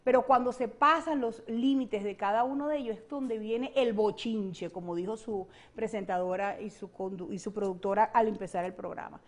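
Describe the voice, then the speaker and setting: A woman's voice: medium pitch, moderate pace, hardly any pauses. A Venezuelan woman, a political analyst and guest, speaking with passion and emphatic repetition in a studio interview. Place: television studio set.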